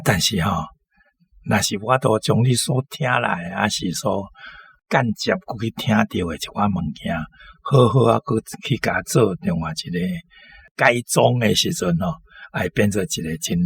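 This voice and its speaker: A man with a Taiwanese accent talking off the cuff about a story in a halting, rambling way. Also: lowish voice, animated, gravelly, slight background noise. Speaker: literary discussion speaker. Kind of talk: unscripted talk about a story.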